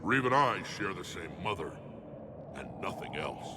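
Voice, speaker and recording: An actor playing a proud, stubborn alien clan leader in a video game, blunt and commanding. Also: male voice, low-pitched, big pitch swings, moderate pace, noisy background. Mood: stern.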